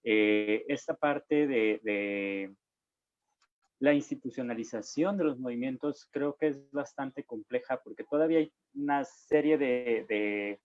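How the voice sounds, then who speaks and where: A man's voice: medium pitch, measured pace, a little mellow, dry recording, muffled recording. A young man speaking as a panelist in an online discussion, hesitant, with many fillers and restarts. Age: young adult. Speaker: panelist, young political activist. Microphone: video call.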